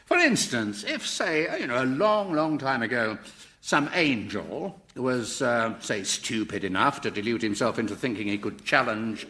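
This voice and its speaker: An actor playing a reasonable, compassionate man in a radio sitcom, measured and pointed with gentle sarcasm. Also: mid-range voice, animated. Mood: slyly reasoning. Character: reasonable, compassionate human man challenging the Devil.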